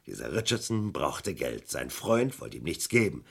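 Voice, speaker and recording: An actor playing a suspicious, methodical police detective in a German crime radio play, speaking deliberately and with pointed emphasis. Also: male voice, very deep voice, sing-song, creaky, a bit bright, breathy edge, full character voice. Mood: deducing, emphatic. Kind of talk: German crime radio play acting, mid to late 20th century.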